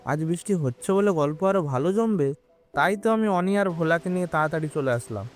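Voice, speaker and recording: A man's voice: on the high side, animated, brisk, faint music behind, natural voice. A man playing an eager, chirpy young village lad who pesters for stories in an audio drama.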